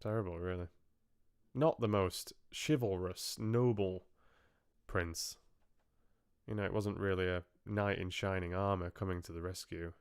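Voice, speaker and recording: Smooth low-voiced man in casual chat. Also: fairly even intonation, a little slow, no room sound, studio quality. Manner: rambling, chatty, jokey. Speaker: live book club host and reader. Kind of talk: casual chat, musing on fairy tales with live chat.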